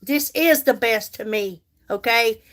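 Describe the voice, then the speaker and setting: Woman, 50s, livestream makeup host; high voice, unhurried, chatty, talks to viewers by name. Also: fairly bright tone. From United States. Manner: chatty, reads and answers viewer comments, repeats herself for emphasis. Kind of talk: casual livestream chat while doing makeup.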